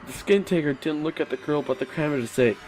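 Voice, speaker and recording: A man's voice: a little high, quick pace, a little mellow, faint music behind, lightly acted. A man playing a poster piecing together eerie childhood television memories in a dramatic reading. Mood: eerie recall. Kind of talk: dramatic reading of online forum posts.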